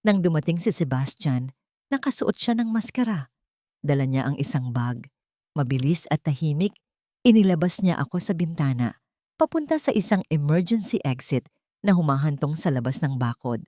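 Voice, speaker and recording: A woman narrating a dramatic first-person audio story in a solemn, deliberate manner. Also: sits low, expressive, mellow, no room sound, clean studio sound.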